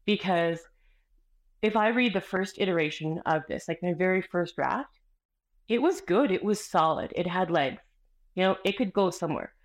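A woman author speaking as a guest in a casual interview, expressive and energetic as she tells stories. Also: fairly low pitch, normal speed. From Canada. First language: Spanish.

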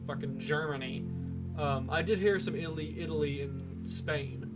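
A man's voice: on the high side, normal speed. Casual man with music behind. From Massachusetts, United States. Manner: casual, swearing freely. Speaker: podcast host. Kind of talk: casual chat. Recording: music over the talk.

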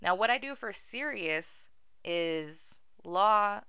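Young woman podcast host, smooth but gravelly low voice, self-deprecating humor. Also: expressive, slow pace, pushed and bright, no echo, clean studio sound. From Venezuela. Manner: wry, self-deprecating, with filler ums. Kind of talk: casual solo podcast monologue.

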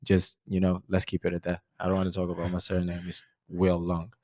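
A man in his twenties from Portugal, speaking English with a Portuguese accent, hosting a relaxed podcast chat full of fillers. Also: sits low, fairly quick, no room sound, studio quality. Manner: relaxed, rambling, many fillers. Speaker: podcast host. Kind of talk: casual chat.

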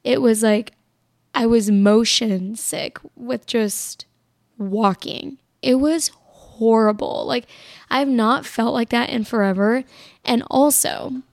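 A young woman with a somewhat high, raspy voice talks on her own podcast, wandering from thought to thought with constant "like"s. She sounds tired, recovering from being sick. The sound is dry and close. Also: takes it slowly, a little mellow, no echo. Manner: rambling, filler-heavy, lots of "like". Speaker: podcast host. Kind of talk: casual solo podcast chat.

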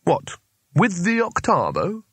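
A man's voice: high voice, huge pitch swings, slow, fairly bright tone, breathy edge, dry recording, pitched above the performer's own voice, exaggerated voice. A man voicing a nervous, self-pitying, sarcastic failed male wizard in a comic storybook reading. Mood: polite. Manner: anxious, stammering, sarcastic.

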